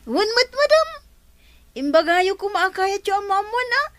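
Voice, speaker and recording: A woman doing a gossipy, wisecracking male helper in a radio play, chatty and teasing, very high voice, dry close-miked studio sound, quick to laugh. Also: sing-song, no echo, exaggerated voice. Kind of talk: radio drama acting.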